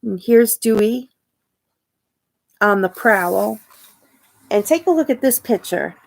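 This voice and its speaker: A woman reading a children's picture book aloud expressively, pausing to ask her young listeners questions and point things out. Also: a little high, expressive, creaky, rough recording. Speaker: storytime reader and teacher.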